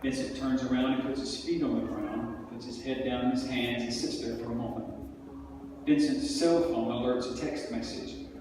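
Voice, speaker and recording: Male script narrator in a very echoey hall. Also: medium pitch. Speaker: narrator reading stage directions. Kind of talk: scripted narration at a table reading. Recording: echoey hall from far off, music behind.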